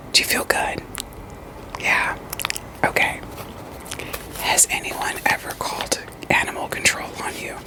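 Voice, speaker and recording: A woman playing a calm, reassuring therapist in an ASMR roleplay, whispering softly and breathily with gentle, deliberate questions. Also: lightly acted, low-quality recording. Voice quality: soft, breathy, mostly unvoiced whisper. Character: calm, reassuring female therapist screening patients for werewolves.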